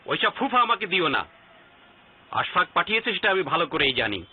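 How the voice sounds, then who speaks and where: Stern, husky middle-aged father. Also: sits high, sing-song, a little fast, heavily acted. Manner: commanding, scolding. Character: stern, suspicious middle-aged father guarding his daughter. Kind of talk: Bengali TV drama acting. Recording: thin phone-like sound, faint music.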